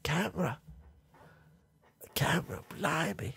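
Young man doing a gravelly doddering old granddad. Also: fairly high pitch, lively intonation, a bit bright, voice pushed up, exaggerated voice. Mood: rambling. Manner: rambling, halting, trailing off, chuckling. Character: doddering old granddad, confused, creepy, rambling. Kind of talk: comedy ASMR roleplay. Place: small dark room, indoors. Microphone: close studio mic, camera near face.

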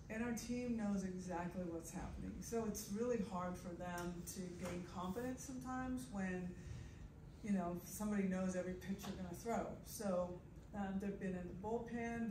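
A woman softball coach answering postgame questions in an upbeat, emphatic way, often repeating words while she thinks. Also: medium pitch. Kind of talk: postgame press conference answers. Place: press conference room. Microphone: table mic heard from the audience. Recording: roomy, distant, noisy phone recording.

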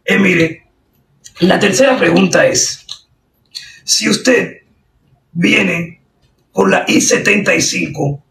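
Casting: Cuban woman, gravelly, straight-faced prank caller acting official, recorded in a roomy space. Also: on the low side, takes it slowly, pauses often. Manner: deadpan, official-sounding. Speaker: prank caller posing as driving examiner. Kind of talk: prank phone call, improvised quizzing. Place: indoors at a desk. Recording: roomy, distant sound with faint noise.

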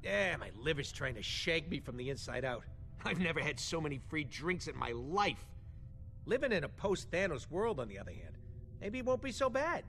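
A grumpy raccoon mechanic in a video game, brash and sarcastic, with a wisecrack always ready. His voice sits fairly high and swings up and down with lively inflection. Faint game music plays behind him. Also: moderate pace, gravelly, exaggerated voice.

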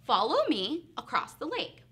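A tricky male fox from a children's tale, acted out by a woman storyteller. He is playful and coaxing, explaining things slyly in a bright, highish voice that swings up and down. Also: wildly swooping pitch, a little slow, heavily acted. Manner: coaxing, instructing.

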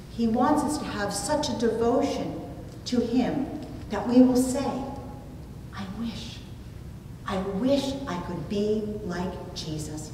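A middle-aged woman delivering a sermon with storytelling in a measured, expressive way, with deliberate phrasing. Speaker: preacher. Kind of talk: sermon with storytelling, read from notes. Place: small indoor church room, at a pulpit. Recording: distant mic, very echoey room, rumbly noise.

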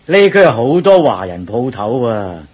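An actor playing an eager, agreeable travelling monk in a Cantonese radio drama, chatty and exclamatory, with a husky voice. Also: male voice, mid-range voice, sing-song, unhurried, a little mellow. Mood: cheerful, observant. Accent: Cantonese, Hong Kong.

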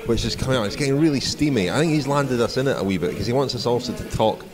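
A man's voice: medium pitch, lively intonation, quick pace, music in the background. A British man hosting a sports podcast in casual, chatty style. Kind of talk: casual chat. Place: bar of a sports complex. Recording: busy public venue, background chatter.

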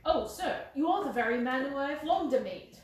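A woman's voice: high voice, lively intonation, moderate pace. A woman voicing a nervous, rat-faced little man who whines and quavers, recorded from a distance in a roomy space with faint hiss. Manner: quavering, whining.

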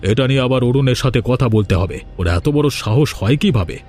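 A man's voice: mid-range voice, expressive, quick pace, darkish tone, close to an everyday voice. A man voicing an angry, suspicious village fish-farm boss in a cartoon, commanding and indignant, with music underneath. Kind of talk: Bengali cartoon dubbing.

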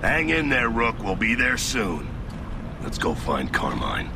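Gravelly, commanding army sergeant. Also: male voice, fairly low pitch, wildly swooping pitch, unhurried, very noisy, full character voice. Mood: steady, terse. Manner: terse, commanding. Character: gruff, battle-hardened male squad sergeant, curt and commanding. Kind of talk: video game military dialogue. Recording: loud music behind.

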